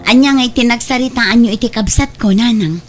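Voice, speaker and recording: A woman's voice: on the high side, a little fast, soft background music, close to an everyday voice. A woman with an Ilocano accent playing a scheming, spiteful adult sister in an audio drama, speaking with disdain.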